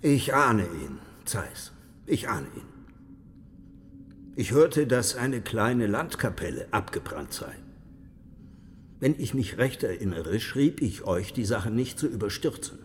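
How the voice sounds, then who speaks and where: An actor playing a haughty, weary and sardonic prince in a historical radio drama, commanding and condescending in his measured speech. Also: lowish voice, sing-song, a little hiss or hum. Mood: weary, knowing. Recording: long hall reverberation.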